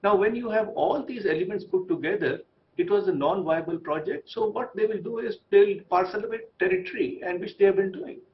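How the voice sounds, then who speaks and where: A man giving measured expert commentary as a debate guest, with halting, deliberate phrasing. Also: sits high, highs cut off. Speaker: TV debate guest, former ambassador.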